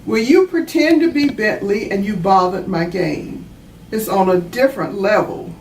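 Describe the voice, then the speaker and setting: A woman's voice: medium pitch, normal speed, darkish tone, close to an everyday voice. A woman voicing an earnest, pleading young child in a children's storybook. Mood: hesitant, earnest. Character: earnest young child learning to speak kindly. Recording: roomy, distant mic, faint noise.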